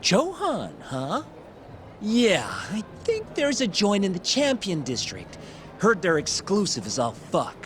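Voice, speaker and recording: Lecherous game lowlife, highish voice, very swoopy intonation, crude drawl, wheedling, faint music. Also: male voice, wildly swooping pitch, takes it slowly, exaggerated voice. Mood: sly, wheedling. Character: sleazy, wheedling male gambler, lecherous and self-deprecating. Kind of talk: video game cutscene acting.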